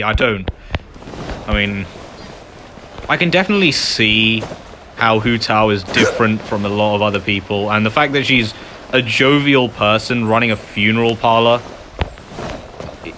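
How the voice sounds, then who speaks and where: A man's voice: fairly low pitch, moderate pace, long pauses, noisy background. A man giving casual, rambling commentary as he records a video game playthrough. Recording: game music behind voice.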